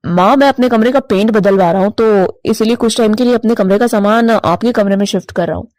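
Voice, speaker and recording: A woman's voice: medium pitch, fairly quick, darkish tone, dry recording, voice pushed down, close to an everyday voice. A woman voicing an obedient, henpecked young son crow in a cartoon story, earnest and meek. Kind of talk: animated cartoon moral story.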